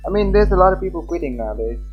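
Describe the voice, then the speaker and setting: A man's voice: high voice, expressive, racing, lo-fi sound, faint music behind. A man livestreaming a game, chatting casually and reading visual novel text aloud. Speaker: live streamer.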